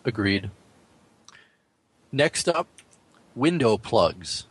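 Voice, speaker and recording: A man's voice: on the low side, very animated, extremely slow, slightly rough, dry recording, rough recording. A man co-hosting a gaming podcast, running through news items in a casual, conversational way. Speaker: podcast co-host. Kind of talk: casual news rundown and discussion.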